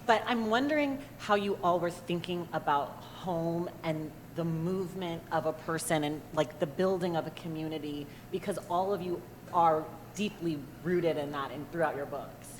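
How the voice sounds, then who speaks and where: A woman moderating a panel discussion, chatty and quick to banter with the authors. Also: lowish voice. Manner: lively, conversational, quick to banter. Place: festival stage before an audience. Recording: long hall reverb, faint noise.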